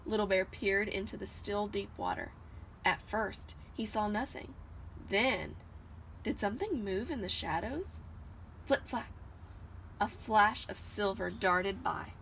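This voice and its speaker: A woman giving an expressive read-aloud of a children's picture book, recorded outdoors on a porch. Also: mid-range voice, a little slow, creaky. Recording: noisy outdoor home recording.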